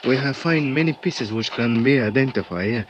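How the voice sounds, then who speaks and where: An actor playing an earnest Mexican official who speaks halting, Spanish-accented English full of "uh" fillers, in a 1950s radio drama. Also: male voice, medium pitch, close to an everyday voice. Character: earnest Mexican male official at a crash site. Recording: dull old radio transcription with faint hiss.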